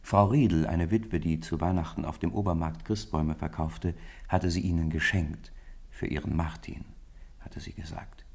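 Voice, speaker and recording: Deep gravelly male radio narrator. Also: fairly even intonation, normal speed, darkish tone. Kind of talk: storybook narration in a radio play. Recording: 1950s radio studio recording.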